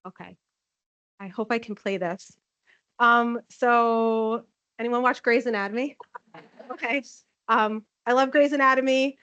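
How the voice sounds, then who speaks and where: A woman giving a chatty conference talk as a nursing informatics manager, with a loud voice and many "um" fillers. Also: on the high side, fairly even intonation, unhurried, long pauses, dry recording, dull audio. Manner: friendly, chatty, frequent "um" fillers. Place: conference room. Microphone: handheld or podium microphone.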